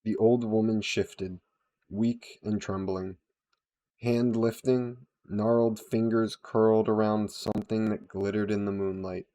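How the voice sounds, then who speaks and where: A man giving a scripted, solemn and dramatic narration of a dark fantasy tale in measured phrases. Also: on the low side, flat delivery. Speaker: storyteller, narrator.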